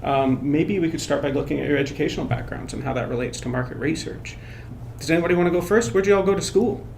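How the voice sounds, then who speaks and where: A man's voice: a little high, fast talker, vocal fry, darkish tone, echoey room, slight background noise, poor audio. A man hosting a panel podcast in a casual, encouraging way, often saying "right on". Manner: upbeat, encouraging, says "right on". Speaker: podcast host. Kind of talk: casual interview chat. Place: office meeting room.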